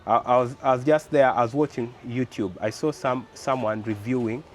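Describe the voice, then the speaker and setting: A young man with a Ugandan English accent, talking casually in an interview, animated and full of rhetorical questions and fillers. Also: mid-range voice, moderate pace, a little hiss or hum. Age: young adult. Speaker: guest, car builder.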